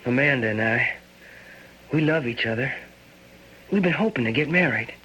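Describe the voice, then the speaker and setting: An actor playing an anguished young farm son in a radio drama, urgent and plain-spoken. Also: male voice, medium pitch, expressive, normal speed, bright, forceful tone, slightly rough. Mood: anguished, defiant. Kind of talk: old-time radio drama acting. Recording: slightly noisy radio drama recording.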